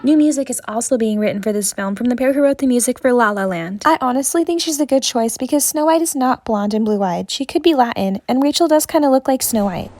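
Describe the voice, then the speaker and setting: Female podcast narrator, slightly high but mellow, rapid informational read. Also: expressive, no echo, faint music behind. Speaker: podcast host giving updates. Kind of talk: brisk informational voice-over commentary.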